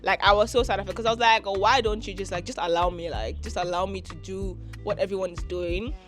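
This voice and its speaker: A woman's voice: medium pitch, quick pace, fairly bright tone. Teen girl from Nigeria, chatty, lots of fillers, faint music under her. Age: teens. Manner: chatty, full of "like" fillers, giggly. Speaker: interviewee. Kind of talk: casual interview answers.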